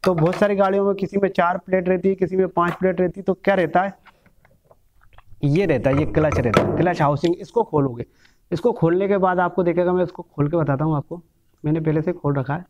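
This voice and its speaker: A man's voice: sits high, fairly even intonation, a little fast, a little mellow. A man in his thirties teaching bike mechanics, explaining step by step while handling engine parts in a small room. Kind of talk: hands-on workshop lesson. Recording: dull lo-fi sound.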